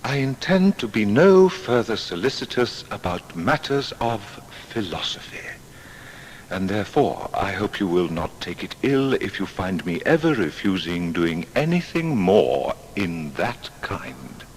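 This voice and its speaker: An actor playing a proud, exacting seventeenth-century English natural philosopher, reading his papers in formal, deliberate diction. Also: lowish voice, very animated. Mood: weary, bitter. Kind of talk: dramatised reading of period letters and papers. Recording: long hall reverb, faint background noise.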